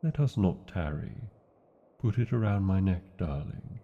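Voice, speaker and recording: A man's voice: low voice, expressive, unhurried, muffled recording, exaggerated voice. A British man playing a proud, tender dragon lover in a fantasy audio romance, speaking in formal, old-fashioned, theatrical phrases. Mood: proud, intimate. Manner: formal, archaic, theatrical phrasing with tag questions. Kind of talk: fantasy ASMR roleplay, slow intimate acting.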